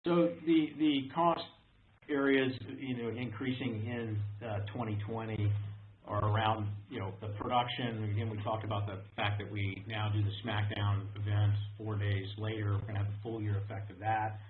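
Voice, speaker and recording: A man answering analyst questions as a company executive, speaking in a measured, halting way with repeated words. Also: on the low side, flat delivery. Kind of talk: unscripted corporate question-and-answer. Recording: noisy narrow-band conference call.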